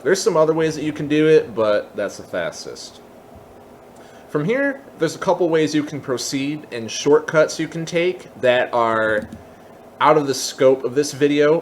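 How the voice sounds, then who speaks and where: A man presenting a software tutorial in a methodical, step-by-step, explanatory way. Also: medium pitch, a little hiss or hum.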